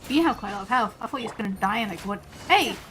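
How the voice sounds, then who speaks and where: A woman's voice: medium pitch, animated, rapid-fire, fairly bright tone, a little hiss or hum. A woman game streamer giving casual, chatty live commentary, rambling along with her train of thought.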